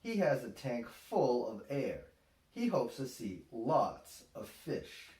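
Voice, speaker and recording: A man in his thirties reading a picture book aloud to young children in a deliberate, expressive way. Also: medium pitch. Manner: deliberate, expressive, simple phrasing. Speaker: storytime host, father reading aloud. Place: small room at home, indoors. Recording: roomy, distant home-camera sound.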